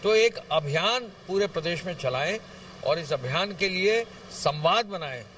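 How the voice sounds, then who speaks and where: A male official giving a formal, somewhat halting statement, recorded from a distance outdoors with some traffic noise. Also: sits high, expressive, a bit bright.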